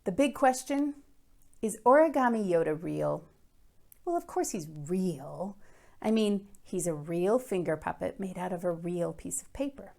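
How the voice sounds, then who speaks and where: A woman's voice: medium pitch, a little mellow. An earnest middle-school boy voiced by a woman tells his own storybook case. He is fretful and emphatic, leaning hard on key words and dropping into confiding asides. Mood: questioning, intrigued. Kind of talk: first-person children's book read aloud.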